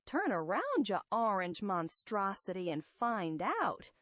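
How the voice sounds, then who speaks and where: Woman doing a slow, pompous armored supervillain. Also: medium pitch, sing-song, fairly bright tone, no room sound, full character voice. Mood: sinister. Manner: pompous, grandiose, deliberate. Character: imperious armored male supervillain, coldly boastful.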